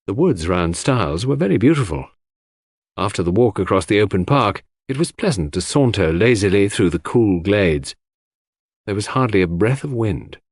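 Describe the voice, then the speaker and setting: Guy reading an audiobook story, lowish voice, really lively intonation, dry close sound. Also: normal speed, husky, no room sound. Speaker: audiobook narrator. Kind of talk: scripted first-person story narration.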